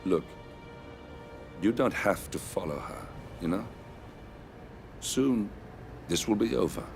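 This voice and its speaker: Wry, low-voiced ally. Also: male voice, animated, slow pace, gravelly, full character voice. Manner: wry, easygoing and sardonic. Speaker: narrator and ally. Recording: game cutscene over music.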